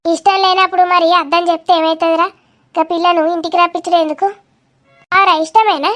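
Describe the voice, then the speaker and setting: A woman's voice: very high voice, steady tone, fast talker, fairly bright tone. A woman voicing a nosy, grumbling village aunt in a cartoon comedy, with a Telangana Telugu accent and a blunt, gossipy way of talking. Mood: suspicious, probing.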